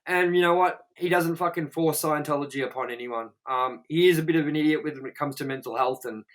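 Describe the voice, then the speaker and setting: A man's voice: on the high side, quick pace, fairly bright tone. A young man co-hosting a movie review show. He reads out trivia and rambles bluntly, swearing and filling his talk with "like.". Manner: rambling, profane, blunt, with many "like" fillers. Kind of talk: casual chat reading out movie trivia.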